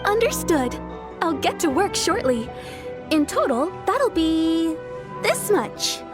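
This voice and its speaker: A woman voicing a shopkeeper speaks in a high voice that rises and falls lively. She sounds friendly and businesslike about her orders. Game music plays clearly in the background. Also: slow pace. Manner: friendly, businesslike shop talk. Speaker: shopkeeper character. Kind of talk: video game voice acting.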